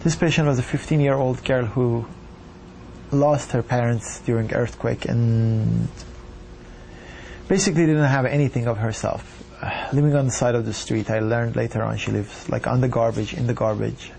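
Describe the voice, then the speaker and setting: A doctor from Iran telling his story in an earnest, measured way. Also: medium pitch, steady tone, vocal fry, mellow, slight background noise. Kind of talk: reflective personal account.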